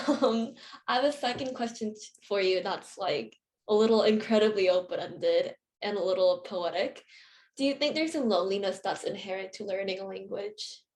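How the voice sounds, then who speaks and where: Young woman host, mid-pitched, roomy echo around her voice, casual and friendly, loose with fillers. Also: normal speed, darkish tone. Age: young adult. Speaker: journal host interviewer. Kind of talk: hosting a reading Q&A. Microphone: video call. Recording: roomy video call audio.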